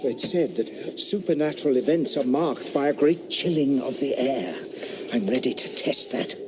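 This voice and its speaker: An actor playing a curious eighteenth-century squire who tests for ghosts, earnest and eager, dropping to a hush when he explains his theories. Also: medium pitch, normal speed, noisy background, close to an everyday voice. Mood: instructive. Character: curious 18th-century country squire experimenter. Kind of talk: radio drama acting. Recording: audio drama with woodland ambience.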